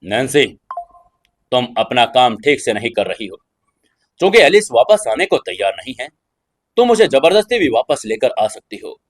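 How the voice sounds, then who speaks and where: A man's voice: mid-range voice, sing-song, normal speed, dry recording, clean studio sound. Man voicing a haughty, stern young lord. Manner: stern, condescending. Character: haughty young male lord, commanding and contemptuous.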